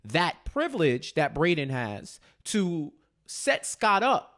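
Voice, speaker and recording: Higher-voiced man, emphatic podcast co-host. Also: a bit bright. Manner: emphatic, repeats phrases for stress; colloquial, slangy. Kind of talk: casual TV recap discussion.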